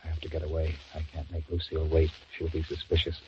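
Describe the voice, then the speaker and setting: A man's voice: very deep voice, completely flat delivery, quick pace, muffled recording. An actor playing a nervous, cowardly young man in an old radio drama, muttering anxious thoughts and sweet-talking a woman. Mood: worried brooding. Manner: anxious muttering asides, then coaxing sweet talk. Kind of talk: 1940s radio acting with inner monologue. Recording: scratchy, hissy old radio recording.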